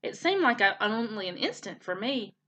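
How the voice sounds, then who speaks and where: A woman voicing a gentle motherly robot with calm, formal wording in a children's storybook. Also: mid-range voice, moderate pace, highs cut off. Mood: warm, curious.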